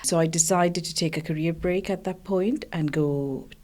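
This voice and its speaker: A woman in her thirties, originally from Sri Lanka, talks through her career as a guest on an interview podcast. Her voice sits very low, and she speaks casually, often pausing and restarting her sentences as she goes. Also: darkish tone. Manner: hesitant, many fillers and restarts. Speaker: cardiology researcher guest.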